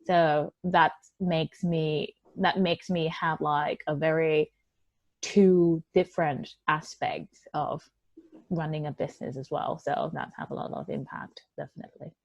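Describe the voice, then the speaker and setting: Low-voiced woman entrepreneur. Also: steady tone, unhurried, no room sound, lo-fi sound. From Thailand. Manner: conversational, non-native English with fillers. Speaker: entrepreneur guest. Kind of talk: interview answers.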